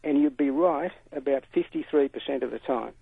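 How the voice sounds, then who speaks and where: A man's voice: medium pitch, brisk, gravelly, husky, no echo. An older man calling in to a radio show, talking earnestly and at length over a tinny phone line. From Australia. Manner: earnest, emphatic, talkative. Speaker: caller.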